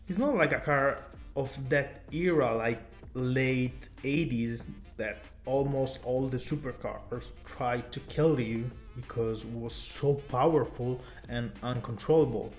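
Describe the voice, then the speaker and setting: A man from Italy with an Italian accent, chatting enthusiastically to camera about cars in loose non-native English. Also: mid-range voice. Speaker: car vlogger. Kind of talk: casual talk to camera.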